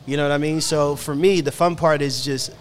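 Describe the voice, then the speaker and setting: A man's voice: fairly high pitch, fairly even intonation, brisk, a little hiss or hum. A man who grew up in Ghana, a guest in a casual podcast talk, reflective and often adding "you know what I mean". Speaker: guest, fashion creative. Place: indoor studio.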